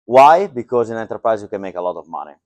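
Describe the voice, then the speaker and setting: A man's voice: fairly low pitch, very animated, quick pace, no room sound. A middle-aged man giving a joking, loose tech talk to a live audience, with lots of fillers. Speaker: conference speaker. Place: lecture hall.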